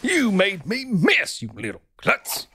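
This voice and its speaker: Angry hippo workman, slow drawn-out scolding, wildly swinging pitch, booming, audiobook drama, noisy background. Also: huge pitch swings, heavily acted. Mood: furious. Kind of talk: theatrical audiobook character acting.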